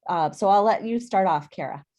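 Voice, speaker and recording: A woman's voice: medium pitch, brisk, warm tone. A woman briefly setting up a legislative update during a board meeting, organized and to the point, over a video call. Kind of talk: meeting report.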